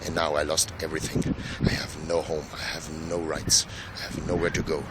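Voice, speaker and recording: An Austrian man, a native German speaker, delivering a solemn, grandiose, halting monologue with emphatic repetition, outdoors on a city square. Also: a bass voice, completely flat delivery, heavy background noise. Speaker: speaker in a self-made video statement. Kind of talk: impassioned declamatory monologue.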